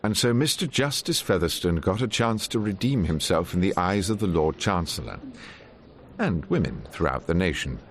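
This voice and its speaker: An actor playing a wry, shrewd English defence barrister in a radio drama, with dry, measured delivery. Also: male voice, sits low, sing-song, music in the background. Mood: wry narration. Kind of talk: radio drama acting and first-person narration.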